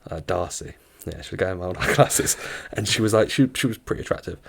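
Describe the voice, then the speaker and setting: A young man, co-hosting a podcast in casual, animated chat and telling stories with plenty of swearing. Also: low-pitched, quick pace, vocal fry, background noise. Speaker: podcast co-host.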